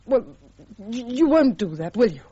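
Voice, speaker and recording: An actress playing a frank, earnest woman who gently reproves and pleads, in a radio drama. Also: on the high side, very animated, moderate pace. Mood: pleading. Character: frank, pleading woman friend, quietly in love. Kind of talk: British radio drama acting. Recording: dull, lo-fi radio recording.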